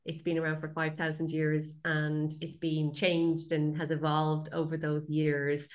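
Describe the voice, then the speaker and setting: A woman with a low-pitched voice speaks as an expert guest on a podcast. She explains in a conversational style, reaching for everyday examples and comparisons. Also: completely flat delivery, unhurried. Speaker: podcast guest, Feng Shui practitioner.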